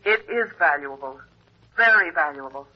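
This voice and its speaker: An actress playing an anxious, self-important stage star, speaking with urgent insistence in a 1950s radio drama. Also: female voice, low-pitched, animated, normal speed. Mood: anxious, insistent. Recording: muffled vintage radio telephone voice.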